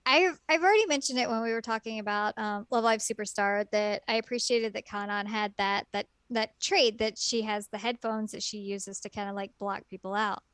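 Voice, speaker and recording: A woman's voice: medium pitch, fairly quick, few pauses, a bit bright, smooth, no echo. A woman co-hosting a casual podcast chat, rambling and giggly with lots of fillers. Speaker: podcast co-host.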